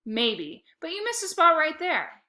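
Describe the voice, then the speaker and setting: A woman's voice: high-pitched, wildly swooping pitch, pushed and bright, pitched above the performer's own voice, heavily acted. Woman voicing a noncommittal, stalling dad. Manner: deliberate, noncommittal. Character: stalling, musing, hard-to-convince father.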